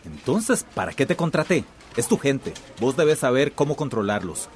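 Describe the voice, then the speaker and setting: An actor playing a domineering, impatient company boss in a radio drama. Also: male voice, medium pitch, very animated, normal speed, over loud music, natural voice. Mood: angry, impatient.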